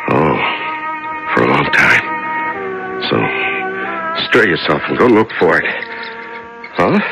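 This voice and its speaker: An actor playing a weak, exhausted prisoner in solitary confinement, murmuring to a mouse in a 1970s radio drama. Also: male voice, very deep voice, creaky, full character voice, low-quality recording. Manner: murmuring to a mouse, fading and breaking. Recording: old radio drama with music.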